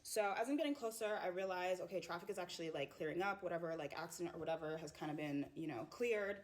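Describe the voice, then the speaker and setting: Chatty woman storyteller, slightly low voice. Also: quick pace. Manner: conversational, acts out dialogue. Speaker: customer telling a story time. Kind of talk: chatty story time to camera.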